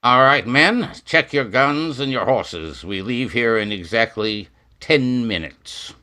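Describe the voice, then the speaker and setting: A man plays a stern major in an old radio western. He talks slowly and with measured authority, in a bright, forceful voice. The delivery is commanding and sure of itself. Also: mid-range voice, lively intonation. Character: stern, commanding ex-military major leading a posse.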